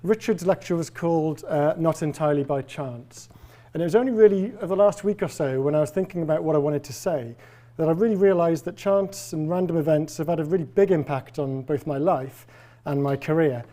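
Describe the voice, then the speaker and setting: Wry Welsh professor, mellow voice. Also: male voice, a little high, fairly quick. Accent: faint Welsh. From Wales. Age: 40s. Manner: conversational, wry and self-deprecating. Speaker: professor giving a lecture. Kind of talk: public lecture. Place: lecture hall with a live audience.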